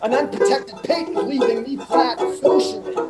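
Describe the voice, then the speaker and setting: A woman playing a glum, earnest gentleman chum in an old-style radio advert, melodramatic and exclamatory. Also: sits high, lively intonation, a little slow. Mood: glum, curious. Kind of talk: vintage radio comedy acting. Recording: loud music behind the voice.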